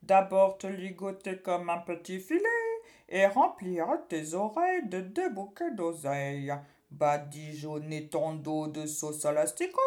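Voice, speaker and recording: A woman voicing a boastful, scolding witch from a children's story, with drawn-out taunts and silly insults. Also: fairly high pitch, voice pushed up.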